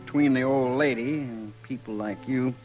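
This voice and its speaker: A man's voice: medium pitch, moderate pace, a little mellow, natural voice, low-quality recording. Bitter young drunk male actor, rural American, mid-range, insolent drawl, vintage radio western with faint music. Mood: defiant. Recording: old 1950s radio recording, faint music.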